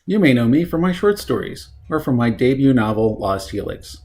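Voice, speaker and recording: A man's voice: mid-range voice, lively intonation, moderate pace, darkish tone. A man giving a short scripted introduction as an author presenting his own writing.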